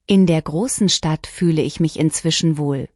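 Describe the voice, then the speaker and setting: A woman reading short lesson sentences one at a time, each clearly and deliberately, for learners to repeat. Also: low-pitched, a little mellow, no echo, clean studio sound. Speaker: language lesson reader. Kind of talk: scripted shadowing practice reading.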